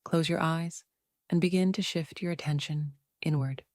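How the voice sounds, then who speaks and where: A woman narrating a guided meditation in a soothing, unhurried way, leaving long pauses between phrases. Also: deep voice, steady tone, darkish tone, dry recording, studio quality.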